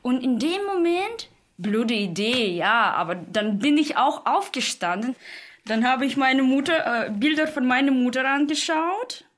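A woman's voice: high-pitched, expressive, a little slow, fairly bright tone. A woman recounts things spontaneously and personally, as in an interview. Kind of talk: spontaneous personal recollection and retelling.

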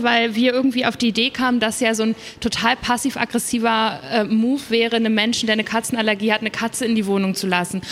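A woman with a fairly high voice speaks as an author on a stage panel. Her talk is informal and explanatory, carried by long hall reverb. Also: a bit bright. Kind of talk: casual panel conversation. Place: live stage at a book fair.

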